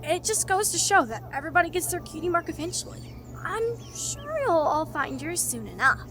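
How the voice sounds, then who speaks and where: An actor voicing a bright, cheerful, youthful female pony in a cartoon-style audio drama. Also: extremely high pitch, very animated, fairly quick, over music. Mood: reassuring.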